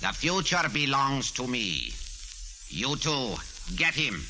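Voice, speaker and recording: An actor in 1940s radio style playing a megalomaniac cult villain, grandiose, pompous and coldly polite in formal, theatrical speech. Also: male voice, medium pitch, sing-song, takes it slowly, pushed and bright, heavily acted, poor audio. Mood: commanding. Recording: vintage 1940s radio with organ music.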